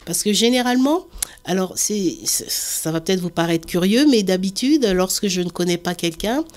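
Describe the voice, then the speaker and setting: A woman's voice: mid-range voice, animated, moderate pace, a little mellow. A woman from the Antilles talking in a reserved, hesitant way, often repeating "voilà" and "oui" as an interviewee. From French Antilles. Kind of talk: casual conversation.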